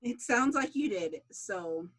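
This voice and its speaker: A woman's voice: medium pitch, animated, slow pace, fairly bright tone. A giggly woman chatting casually as the guest of an interview. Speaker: series creator being interviewed. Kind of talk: casual chat.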